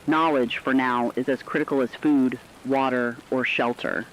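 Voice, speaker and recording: A man narrating a scripted emergency radio broadcast in a grave, measured manner. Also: mid-range voice, fairly even intonation. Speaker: radio announcer. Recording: slightly dull audio with faint rain noise.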